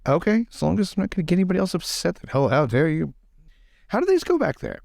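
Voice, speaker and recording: Man acting a dapper flustered lord, swooping pitch. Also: wildly swooping pitch, fast talker, gravelly, darkish tone, dry recording, studio quality, heavily acted. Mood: relieved. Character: dapper, polite, flustered gentleman lord who likes a scrap.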